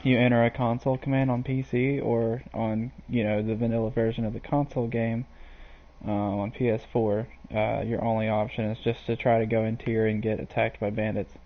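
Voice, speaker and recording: A man's voice: mid-range voice, flat delivery, brisk, phone-quality sound. A man streaming a video game, chatting to viewers in a rambling, hesitant way full of fillers. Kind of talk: live gameplay commentary.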